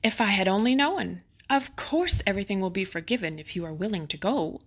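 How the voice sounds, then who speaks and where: A woman's voice: medium pitch, lively intonation, fairly quick. A woman voicing an authoritative, emphatic male professor who runs a museum, in a children's storybook. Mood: forgiving. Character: stern but grateful male museum director, a professor.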